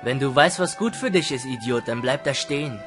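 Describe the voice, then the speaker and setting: A man's voice: medium pitch, a little fast, close to an everyday voice. A man playing a smug, grumpy older teenage boy in an audio drama, with a curt, teasing, dismissive manner. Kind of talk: fan audio drama dialogue in German. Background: music.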